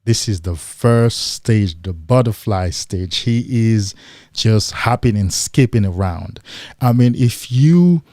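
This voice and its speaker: A man talks slowly and deliberately on a livestream, his voice low and mellow. He leans on rhetorical questions and emphatic repetition, and the sound is dry and close. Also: no room sound. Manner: mimics excited men in a higher voice. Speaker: livestream host. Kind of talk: motivational livestream talk.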